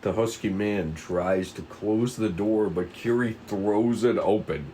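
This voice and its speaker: A man's voice: on the low side, a little slow, mellow, a little hiss or hum. A man reading stage directions aloud as narrator at a script table read.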